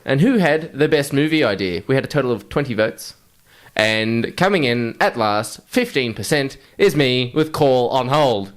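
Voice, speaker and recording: A man's voice: mid-range voice, expressive, moderate pace. A man co-hosting a podcast in casual, jokey group chat with plenty of swearing. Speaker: podcast co-host.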